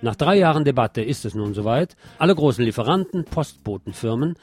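A man's voice: mid-range voice, expressive, fairly quick, darkish tone, breathy edge. A man presenting the news, reading scripted reports. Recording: music under the voice.

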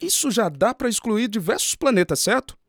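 A man's voice: high-pitched, sing-song, moderate pace, no echo, studio quality, heavily acted. A man with a Brazilian accent playing a curious, agreeable schoolteacher who asks polite questions in a scripted radio drama. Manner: polite, inquisitive.

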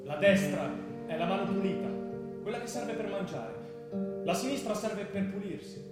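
Troubled young convert, earnest and solemn, slightly high male voice, heavy echo, stage-drama delivery. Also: animated, moderate pace, over loud music. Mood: solemn, defensive. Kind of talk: theatrical radio drama acting.

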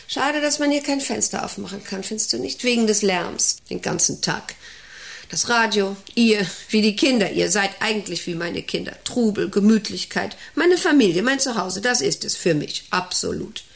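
An actress in a radio play as a domineering, eccentric factory director who rambles, commands and asks intrusive questions. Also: female voice, mid-range voice, expressive, moderate pace, gravelly. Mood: expansive, restless. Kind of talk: German radio play acting.

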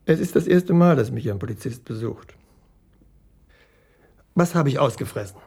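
An actor playing a gruff portrait painter in a radio crime drama, blunt and offhand. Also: male voice, medium pitch, animated, moderate pace, a little mellow. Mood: gruff, dismissive. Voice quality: gravelly, husky.

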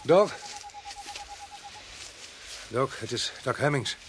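An actor playing a seasoned, weary but commanding village police sergeant in a radio drama, plain-spoken with short phrases. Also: male voice, medium pitch, animated, very slow, background noise. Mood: grave.

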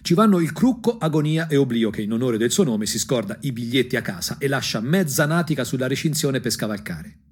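A man with a Tuscan accent and a husky voice performs an ironic, angry, emphatic theatrical monologue for a punk radio drama. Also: medium pitch, lively intonation, brisk, few pauses. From Anghiari, Tuscany, Italy. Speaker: stage actor and storyteller. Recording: music under the voice. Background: music.

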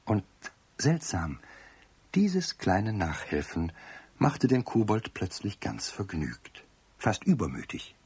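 Male narrator, low voice, lively intonation, children's storytelling, expressive, close dry sound. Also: slow pace, breathy edge, no echo. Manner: expressive, addresses young listeners directly. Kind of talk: scripted storytelling for a children's radio play.

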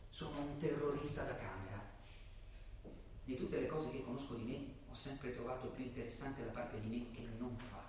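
A man playing a violent, self-pitying armchair terrorist in a dramatic stage monologue, swinging between brooding murmurs and shouted outbursts. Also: medium pitch, steady tone, normal speed, voice pushed down, natural voice. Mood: brooding, self-absorbed. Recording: very echoey room, distant mic, faint noise.

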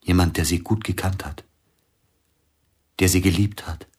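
Radio drama casting: an older grieving pianist, very deep, husky, brooding, hushed. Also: male voice, fairly even intonation, a little slow. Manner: brooding, drops to hushed near-whispers. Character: grieving older male pianist, bitter, suspicious. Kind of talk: radio play acting.

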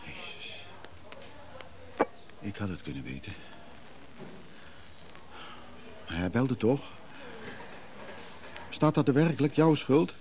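A man playing a brisk schemer giving urgent, secretive orders on the phone in a radio play, halting and commanding. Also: medium pitch, huge pitch swings, background noise. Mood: shaken, resigned.

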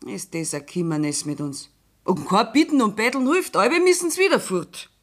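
A woman's voice: mid-range voice, big pitch swings, normal speed. A woman with a Bavarian accent playing a farmer's wife who complains about her absent husband in a 1960s radio play. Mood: complaining.

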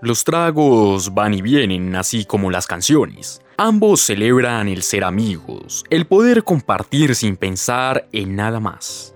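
A man narrating a radio soap opera in a dramatic, scripted style. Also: fairly low pitch. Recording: music under the voice.

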